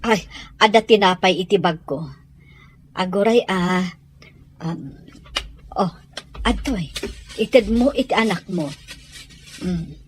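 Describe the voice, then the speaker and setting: A woman acts out a kindly, dutiful working daughter in a radio-style drama. She speaks slowly and gently at a medium pitch, with faint noise in the background. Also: close to an everyday voice. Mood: concerned, kind.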